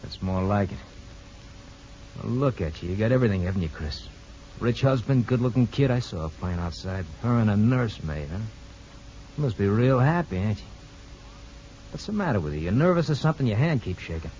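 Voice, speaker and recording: An actor playing a menacing criminal ex-lover in a 1950s radio drama, slangy, needling and bullying. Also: male voice, fairly low pitch, expressive, fairly quick, long pauses, darkish tone, close to an everyday voice. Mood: sneering. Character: menacing, sneering criminal ex-lover, blackmailing tough guy. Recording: dull, hissy 1950s radio recording.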